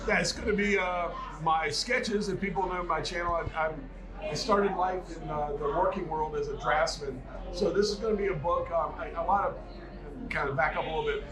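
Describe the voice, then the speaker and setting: A man's voice: fairly high pitch, brisk, crowd noise. A man from North Carolina chatting informally as an interview guest, enthusiastic and a bit rambling. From North Carolina, United States. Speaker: guest, radio hobbyist. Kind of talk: casual on-camera interview chat. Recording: roomy echo with music behind.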